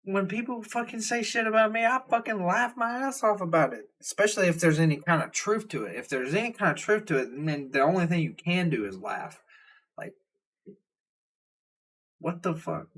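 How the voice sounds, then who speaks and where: A man's voice: high-pitched, animated, moderate pace, a bit bright. A young man with a Southern US accent, hosting a reaction video and ranting in a blunt, rambling, profane way. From Southern United States. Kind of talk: casual ranting commentary.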